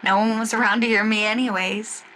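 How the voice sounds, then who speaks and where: A woman narrating a scripted first-person story in a gentle, storybook manner, styled after old-time 1940s radio drama. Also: on the high side, fairly even intonation, a little fast, a little hiss or hum. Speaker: storyteller and singer.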